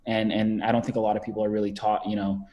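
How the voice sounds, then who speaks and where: A man's voice: lowish voice, flat delivery, quick pace, dark tone. A man in his twenties, a podcast guest talking casually over a video call, rambling with many fillers and repeated words. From Canada. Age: 20s.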